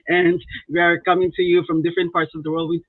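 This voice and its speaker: A woman's voice: on the low side, sing-song, moderate pace, husky. A woman hosting a casual live-stream interview in a chatty, informal, rambling way over an online call. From outside Canada, immigrated. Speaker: interview host. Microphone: online video call. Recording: roomy, tinny phone-like online call.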